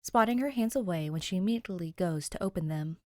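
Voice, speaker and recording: A woman reading fiction aloud as an expressive podfic narrator. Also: on the low side, quick pace, dry recording, clean studio sound. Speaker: podfic reader. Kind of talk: expressive scripted story narration.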